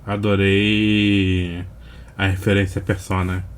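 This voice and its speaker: A Brazilian man comments casually as a reaction host. His voice is low and fairly monotone, and he takes his time over the words. There is some noise in the recording. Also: takes it slowly.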